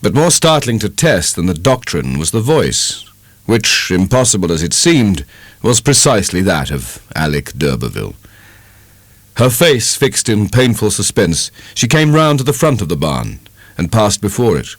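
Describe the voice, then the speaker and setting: A man with a British English accent giving a measured, dramatic reading of a literary novel as an audiobook narrator. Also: on the low side, no room sound. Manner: measured, expressive storytelling.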